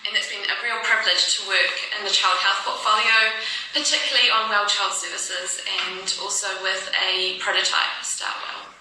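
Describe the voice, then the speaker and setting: A woman's voice: medium pitch, fairly even intonation, normal speed, pushed and bright. A woman with a New Zealand accent, a health service worker, speaking upbeat and chatty in an interview. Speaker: health service staff member. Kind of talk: casual interview. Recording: echoey room, distant mic, noise.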